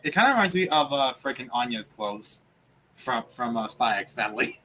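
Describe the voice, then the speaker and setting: Husky man chatting casually over a game. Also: medium pitch, animated, moderate pace, bright, forceful tone, rough recording. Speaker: gaming co-commentator. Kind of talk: casual chat.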